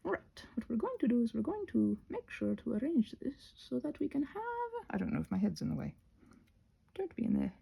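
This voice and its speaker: A woman hosting a casual craft tutorial, thinking aloud in a scattered, self-interrupting way with playful, expressive exclamations. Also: on the high side, brisk, creaky, husky. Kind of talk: rambling casual craft narration.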